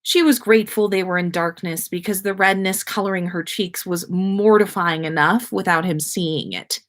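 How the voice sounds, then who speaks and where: A woman with a fairly low voice reads a story aloud. She narrates in the manner of a podcast host sharing fiction with listeners. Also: fairly even intonation, moderate pace.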